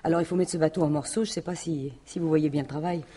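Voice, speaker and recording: Radio mystery widow: French, dark and raspy tone, plain-spoken, jealous, guarded, stumbling over her phrases. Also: sits low, fairly even intonation. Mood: weary. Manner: halting, colloquial, defensive. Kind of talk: 1950s-style French radio mystery acting.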